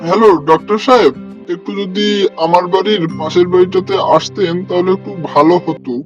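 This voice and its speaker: A man's voice: sits high, steady tone, fairly quick, dull audio, faint music behind, natural voice. A man voicing a kindly middle-aged village uncle in a cartoon, grumbling but caring, with fatherly scolding. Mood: advising. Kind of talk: cartoon dialogue.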